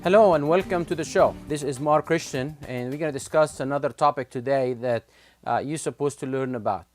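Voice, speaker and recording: A man's voice: mid-range voice, a little fast, faint music behind. A man from Egypt with an Egyptian accent, hosting a political commentary show and speaking earnestly and urgently, with frequent filler words. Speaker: host.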